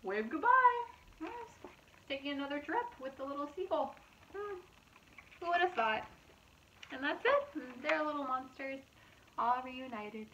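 A woman reads a storybook to small children in a fairly high voice. Her delivery is slow and expressive, with dramatic reactions and asides to the listeners. It is a simple home recording with faint noise behind her. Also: muffled recording. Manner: animated, exaggerated gasps and asides to listeners. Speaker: preschool teacher reading storytime. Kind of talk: expressive picture-book read-aloud for young children.

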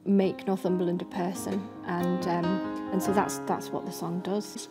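A woman's voice: fairly low pitch, level tone, unhurried, loud music behind the voice. A young woman speaking casually as a panellist in a creative team discussion. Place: book-lined library room, seated. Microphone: microphone on a stand.

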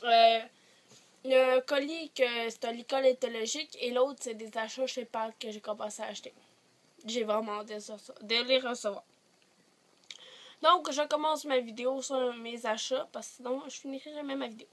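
A woman's voice: on the high side, fairly even intonation, moderate pace. A teenage woman with a Quebec French accent, chatting to camera in her bedroom, rambling with many hesitations, a little congested from a cold. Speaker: vlogger. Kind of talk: casual chat to camera. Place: bedroom, sitting on a bed. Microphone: device camera at short distance.

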